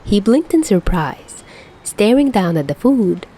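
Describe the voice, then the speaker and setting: A woman's voice: medium pitch, big pitch swings, unhurried, dark tone, husky, rough recording. A woman reading a scripted story as a narrator, with music behind her. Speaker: fan fiction podfic reader.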